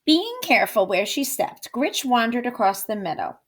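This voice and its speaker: A woman's voice: on the high side, very animated, moderate pace. A woman reading a children's picture book aloud, emphatic and sing-song in her narration. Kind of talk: expressive children's storybook narration.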